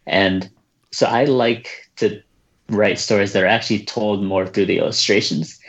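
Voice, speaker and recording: A reserved, soft-spoken man from the United States, answering questions about his books in a casual interview with many fillers and restarts. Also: lowish voice, steady tone, moderate pace, dull audio. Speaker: children's book author, guest.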